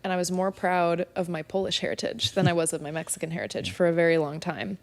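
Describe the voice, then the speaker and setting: A woman guest on a podcast, born and raised in San Diego, whose first language was Spanish. Her voice sits a little low and carries a lot of vocal fry. She talks in a relaxed, reflective way, opening up about her life. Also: quick pace. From San Diego, California. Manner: reflective, open storytelling. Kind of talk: casual conversation.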